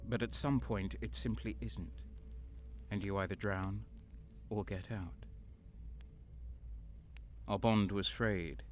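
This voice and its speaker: A man's voice: lowish voice, steady tone, takes it slowly, pauses often, slight background noise. A man playing a bitter, hardened traitor soldier, narrating an audio drama in the first person with a grim, brooding manner. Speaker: narrator and protagonist.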